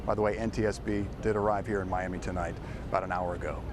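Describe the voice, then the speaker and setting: A man's voice: deep voice, level tone, fast. A middle-aged man reporting for TV news in a live, scripted style. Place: outdoors by a road at night. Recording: clear outdoor street noise behind.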